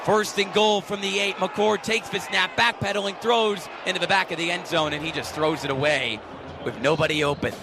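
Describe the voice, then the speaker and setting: A young man calling sports play-by-play with animated, punchy, emphatic delivery. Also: sits high, normal speed, a crowd in the background, background noise. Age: young adult. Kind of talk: energetic sports commentary and highlight narration.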